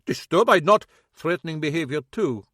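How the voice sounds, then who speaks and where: A man voicing a gruff middle-aged German immigrant shopkeeper who speaks broken English in a loud, indignant way. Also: on the high side, lively intonation, normal speed, no echo, studio quality, pitched above the performer's own voice.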